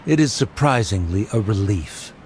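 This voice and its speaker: A man's voice: fairly low pitch, expressive, normal speed, darkish tone, slight background noise, close to an everyday voice. A man playing a proud, aloof scholar father in a video game, pompous and formal. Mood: haughty, relieved.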